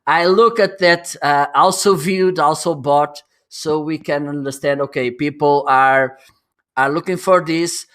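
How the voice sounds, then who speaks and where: A man's voice: on the high side, steady tone, measured pace, fairly bright tone. A man hosting a live stream, chatting and teasing his guest, laughing often and reading out viewer comments. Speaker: host.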